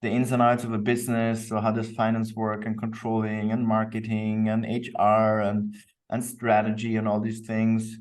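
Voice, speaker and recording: A man's voice: lowish voice, completely flat delivery, normal speed. A man from Austria speaking as a podcast guest, explaining himself in an easygoing, reflective interview style. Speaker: podcast guest, company co-founder. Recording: distant microphone.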